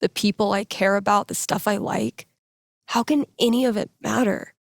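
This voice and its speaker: A woman's voice: mid-range voice, fairly even intonation, moderate pace, creaky, no echo, clean studio sound. An actress playing a candid young woman radio DJ in a video game, speaking in a natural, earnest, conversational way with wry humor. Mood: troubled, raw.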